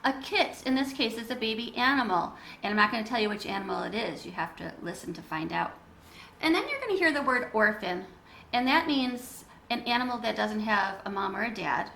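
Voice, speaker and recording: Animated, high-voiced woman reading to children. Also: brisk, gravelly. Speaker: children's read-aloud reader. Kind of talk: expressive storybook narration and explanation for children. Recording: roomy echo with background noise.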